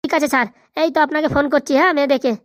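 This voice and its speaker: A woman plays an obliging, loyal village helper in a comic cartoon. The high voice races along, eager to please, in dialect, recorded dry. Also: steady tone, racing, no echo, lightly acted. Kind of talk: comic Bengali cartoon dialogue.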